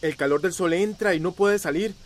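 Male actor as earnest youngster, high voice, explanatory, radio drama. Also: brisk, lightly acted. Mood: urgent explaining.

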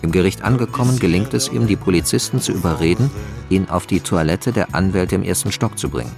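A man's voice: sits low, fast, creaky. A man narrating a radio drama from a script in a factual, announcing, documentary style. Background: guitar music.

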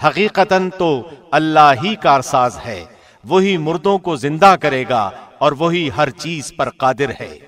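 A man reading a scripture translation as a formal scripted narration, in a measured, solemn manner. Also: on the high side, lively intonation, a bit bright.

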